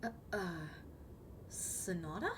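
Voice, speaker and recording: Woman acting gentle, anxious siren queen, noisy ambience. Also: on the low side, expressive, slow. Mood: flustered, apologetic. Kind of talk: ASMR roleplay addressed to the listener. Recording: clearly noisy background ambience.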